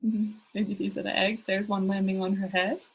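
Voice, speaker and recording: A woman reading a children's storybook aloud in an expressive narrating style. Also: mid-range voice, moderate pace, vocal fry, husky, phone-quality sound. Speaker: storybook reader.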